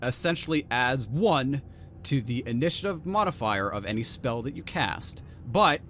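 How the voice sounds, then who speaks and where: A man running a tabletop game as game master, describing scenes in a relaxed, rambling way with many fillers. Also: mid-range voice, normal speed, slight background noise.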